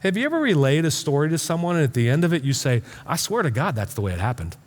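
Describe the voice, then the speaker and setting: A man preaching a conversational sermon as a pastor, informal and emphatic, often halting and repeating words to drive a point home. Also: a little high, big pitch swings. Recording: reverberant hall sound.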